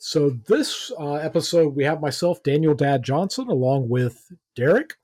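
A man's voice: a little high, lively intonation, moderate pace, darkish tone, slightly rough, no echo. A man hosting a casual fan podcast, joking around and using lots of fillers. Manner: loose, filler-heavy, jokey. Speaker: podcast host.

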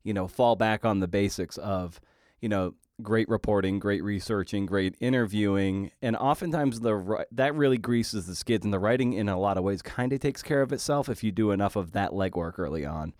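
A man hosting an interview podcast, talking conversationally in a halting way and often repeating his words. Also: on the low side, darkish tone, dry recording, clean studio sound.

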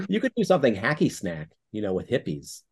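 Bashful, playful man with a lively voice. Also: on the low side, fairly quick, breathy edge. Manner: bashful, giggly, playful joking. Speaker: guest writer, copywriter. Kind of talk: casual podcast chat.

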